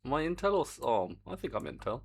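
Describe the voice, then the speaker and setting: A man's voice: mid-range voice, very animated, fast, gravelly, breathy edge. A man streaming his game development work, chatting to viewers in a rambling, self-mocking way. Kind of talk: casual live-stream commentary while coding.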